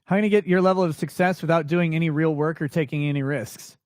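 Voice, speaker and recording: A man's voice: steady tone, quick pace, darkish tone, no room sound, studio quality. A man hosting a casual late-night livestream, reading out and answering viewer questions in a blunt, crude, sweary way. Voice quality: voice not deep. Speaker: livestream host.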